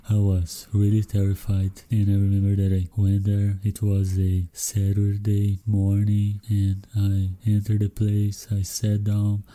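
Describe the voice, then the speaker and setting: A man's voice: on the low side, mellow. A young man from Brazil with a Brazilian Portuguese accent, hosting a solo podcast and speaking encouragingly straight to English learners. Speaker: podcast host.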